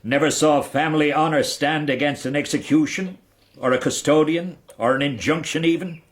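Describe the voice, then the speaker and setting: An actor playing a roguish, fawning Irish knight and debt-dodging fixer in a radio period drama, speaking with an Irish accent and theatrical flourishes. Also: male voice, a little high, moderate pace, close to an everyday voice. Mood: jaunty, cynical. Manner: theatrical, ingratiating, rhetorical flourishes.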